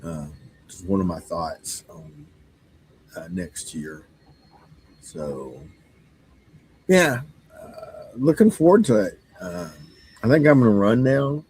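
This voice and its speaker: A man who runs a game store, talking casually with a hoarse voice he says he is losing, halting and full of fillers. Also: on the low side, big pitch swings, a little mellow, a little hiss or hum, rough recording. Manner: halting, many fillers and restarts. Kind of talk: casual monologue.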